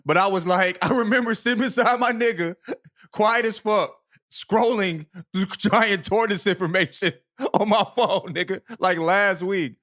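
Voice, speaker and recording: Dude cohosting a comedy pod, voice a bit on the high side, super slangy and sweary, repeats himself for emphasis. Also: male voice, measured pace, a bit bright. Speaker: podcast co-host. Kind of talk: casual comedic podcast chat.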